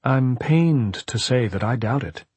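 A man's voice: medium pitch, lively intonation, moderate pace, a little mellow, breathy edge, no room sound, lo-fi sound, pitched above the performer's own voice. A man voicing a mild, well-bred ghostly servant in an audiobook, formal, coldly polite and insinuating. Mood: regretful, taunting. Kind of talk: audiobook character voice.

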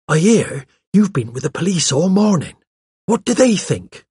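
Man voicing a pert, high-pitched chatty young woman. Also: very animated, moderate pace, dark tone, slightly rough, no echo, exaggerated voice. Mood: chatty, curious. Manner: gushing, pert, colloquial. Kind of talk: audiobook character voice.

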